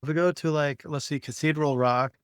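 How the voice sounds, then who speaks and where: A man explaining things casually, in an informal, halting way as he thinks aloud. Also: a little high, fairly even intonation, a bit bright, smooth, no room sound, studio quality. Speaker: podcast co-host.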